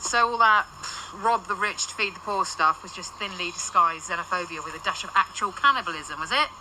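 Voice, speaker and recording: A woman's voice: on the low side, brisk, poor audio. A woman with a British accent playing an irreverent, wisecracking ghost in a naturalistic radio drama. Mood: sardonic. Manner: flippant, sardonic, chatty. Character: irreverent, wisecracking female ghost sent to negotiate. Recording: loud ambient noise behind.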